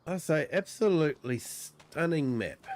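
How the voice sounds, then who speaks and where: Slow, croaky New Zealand man. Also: on the high side, lively intonation, darkish tone. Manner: easygoing, lots of ums and uhs. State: croaky, with a cold. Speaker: streamer. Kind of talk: relaxed livestream gameplay commentary.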